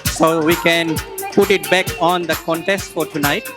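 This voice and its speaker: A man's voice: on the high side, normal speed, music in the background. A man hosting a singing contest, joking and running the show. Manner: joking, lively. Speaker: host. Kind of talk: emcee banter.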